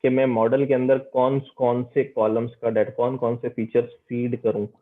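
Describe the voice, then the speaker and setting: A man's voice: medium pitch, flat delivery, normal speed. A man teaching an online class, explaining step by step and often repeating his words for emphasis. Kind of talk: online lecture with student questions. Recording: muffled phone-like radio-quality audio.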